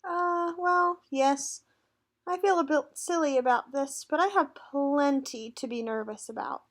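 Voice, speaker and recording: Lady doing a shy nervous armadillo teacher guy for a kids book, kinda forgetful and halting. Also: sits high, fairly bright tone. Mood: anxious. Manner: hesitant, bashful. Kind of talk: picture book read aloud.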